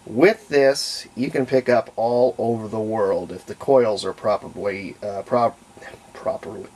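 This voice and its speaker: Gravelly, unhurried American man. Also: lowish voice, darkish tone. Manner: halting, folksy, trails off mid-phrase. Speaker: hobbyist presenter demonstrating a homebuilt radio. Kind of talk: casual unscripted show-and-tell. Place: indoors at a cluttered hobby desk. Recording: faint background noise.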